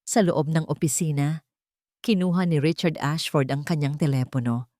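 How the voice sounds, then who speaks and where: A woman narrating a scripted audio drama in a measured, dramatic storytelling manner. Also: deep voice, dark tone, no echo, clean studio sound.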